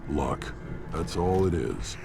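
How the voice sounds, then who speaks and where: An actor playing a gruff, cynical alien warrior in a video game, blunt and sardonic, with a gravelly voice. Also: male voice, extremely low pitch, very animated, slow pace, exaggerated voice. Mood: gruff, dismissive. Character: gruff male krogan alien clansman, scornful and cynical.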